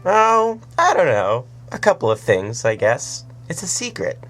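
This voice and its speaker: A man's voice: fairly high pitch, big pitch swings, unhurried, breathy edge, voice pushed up, heavily acted. Man doing a shy, nerdy little girl. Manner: timid, mumbling, earnest. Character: shy nerdy nine-year-old girl. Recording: soft music behind.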